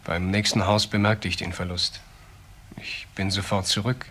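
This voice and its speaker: An actor playing an earnest, defensive young ex-convict in a crime radio drama, explaining himself haltingly. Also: male voice, deep voice, level tone, bright, forceful tone. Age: young adult. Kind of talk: naturalistic German crime radio drama acting.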